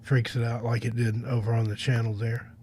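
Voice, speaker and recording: A man hosts an internet radio stream in a loose, rambling, conversational way. His tone is soft and laid-back. A faint hum sits behind his voice. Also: medium pitch, level tone, fairly quick. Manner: rambling, off-the-cuff. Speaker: radio host. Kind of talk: casual livestream chat.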